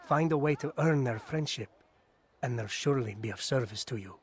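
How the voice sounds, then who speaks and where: A man's voice: medium pitch, a little fast, noisy background, close to an everyday voice. A man playing a dry knowing informer. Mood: wry. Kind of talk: video game cutscene acting.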